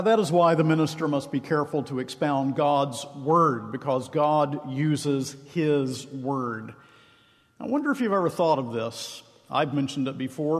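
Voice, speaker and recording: A minister preaching a sermon, earnest and emphatic, stretching key phrases slowly. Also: male voice, on the high side. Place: church sanctuary. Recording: long reverb of a large hall.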